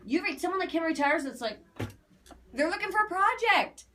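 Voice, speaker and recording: An American woman explaining an aura reading to clients in a casual, emphatic, animated way, repeating phrases for emphasis. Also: very high-pitched, a little fast, a little hiss or hum. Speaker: aura reader.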